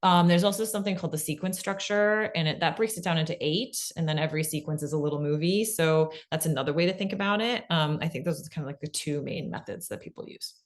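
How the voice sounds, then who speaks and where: A woman's voice: deep voice, fast, hardly any pauses. A woman co-presenting a webinar in an informal instructional way, conversational, often checking in with "right?" and dropping in "like". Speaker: co-presenter of a fiction podcast pitching webinar.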